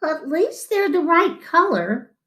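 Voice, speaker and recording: A kindly young storybook knight played by a woman, earnest and encouraging. The voice swings up and down, slow and instructive. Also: very high-pitched, big pitch swings, a bit bright, voice pushed up, heavily acted.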